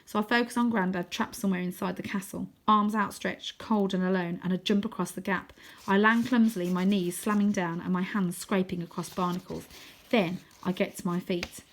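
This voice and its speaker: A woman reading a children's adventure story aloud as expressive first-person narration. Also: mid-range voice, brisk. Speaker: storybook reader.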